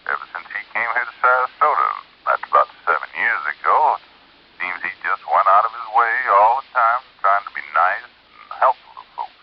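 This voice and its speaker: An actor playing a folksy, dutiful American police lieutenant with a gravelly voice in an old radio drama. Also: male voice, on the low side, animated. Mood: courteous, folksy. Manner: folksy, measured. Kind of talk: 1950s radio drama acting. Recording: muffled old radio recording.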